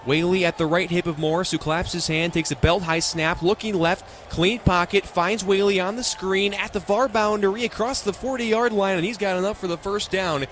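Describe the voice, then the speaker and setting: A young American man calling live football on the radio as play-by-play announcer, in punchy, clipped, excitable phrases. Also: high-pitched, normal speed, hardly any pauses. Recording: live stadium ambience noise.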